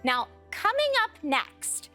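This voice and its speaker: A woman's voice: high voice, very animated, slow pace, bright, forceful tone, faint music behind. Bubbly female event host. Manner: bubbly, scripted presenter lines. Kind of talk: hosting a live broadcast.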